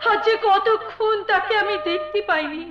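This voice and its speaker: A poor, virtuous mother in stage melodrama. Her extremely high voice is tearful and pleading, full of anguish and defiance. Loud music plays behind her in an echoey recording. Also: normal speed, dull audio. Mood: tearful, determined. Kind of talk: Bengali jatra folk theatre melodrama.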